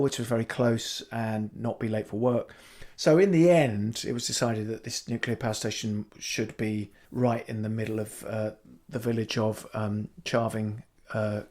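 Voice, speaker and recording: A man improvising a fake life story as a comedy podcast guest, rambling in a deadpan way with many restarts. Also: mid-range voice. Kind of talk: improvised comic interview.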